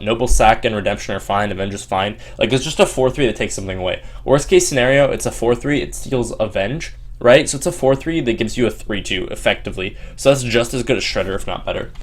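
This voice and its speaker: Fast-talking young man, sore voice. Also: mid-range voice. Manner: quick, filler-heavy delivery with frequent ums and restarts. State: sore voice from long streaming. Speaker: Hearthstone player explaining a deck. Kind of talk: casual deck walkthrough.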